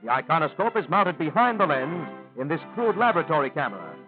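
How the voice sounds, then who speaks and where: An American man narrates in the formal announcer style of a 1930s newsreel. His voice sits fairly high and comes through a thin, tinny old film soundtrack. Music plays clearly behind his scripted, declarative delivery. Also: very animated, quick pace.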